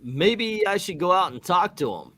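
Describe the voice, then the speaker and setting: Lively, bright-voiced man hamming it up. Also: sits high, normal speed. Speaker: channel host reading comics aloud. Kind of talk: casual group comic-book read-aloud.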